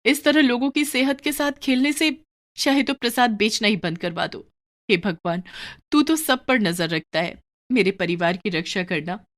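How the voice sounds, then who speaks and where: Woman playing a pious, worried wife, animated pitch. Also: normal speed, close to an everyday voice. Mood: prayerful. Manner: earnest, moralizing, pleading. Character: pious, worried wife pleading with her greedy husband. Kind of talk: Hindi cartoon moral story acting.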